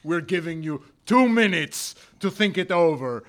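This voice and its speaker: A man's voice: fairly high pitch, animated, a little slow, voice pushed up. A man voicing a bullying bandit in a told story, commanding, impatient and threatening. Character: tall, hoarse, bullying bandit barking demands.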